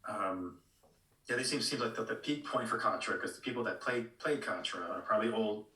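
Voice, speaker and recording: A man co-hosting a casual discussion in a halting way, repeating words as he thinks. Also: fairly low pitch, bright, forceful tone. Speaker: podcast co-host. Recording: roomy echo, distant from microphone.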